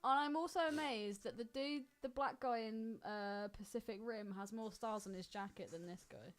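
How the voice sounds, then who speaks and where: A woman co-hosting a podcast, chatting casually about films in an animated, crude-humoured, informal way. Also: fairly high pitch, moderate pace. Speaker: podcast co-host. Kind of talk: casual film chat.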